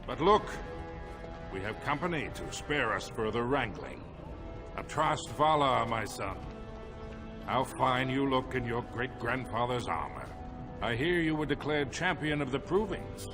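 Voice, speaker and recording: A man's voice: mid-range voice, big pitch swings, unhurried. Stately dwarf king over music. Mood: fatherly pride. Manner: formal, commanding, grand oratory. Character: dwarf king, stately proud aging father. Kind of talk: fantasy game cutscene acting. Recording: loud background music.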